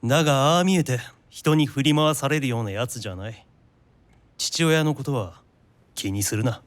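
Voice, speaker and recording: An actor playing a composed, guarded male investigator who narrates his own mystery in an audio drama with serious, measured delivery. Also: mid-range voice, dry recording, natural voice. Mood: grave, restrained. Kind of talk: audio drama dialogue and inner monologue. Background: prominent music.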